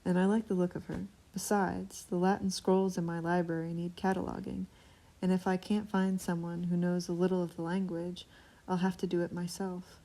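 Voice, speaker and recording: A woman's voice: fairly low pitch, little pitch movement, normal speed, warm tone, slight background noise, close to an everyday voice, rough recording. A woman voicing Kazul, a dry-witted dragon queen. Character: calm, dry-witted female dragon, lofty and protective.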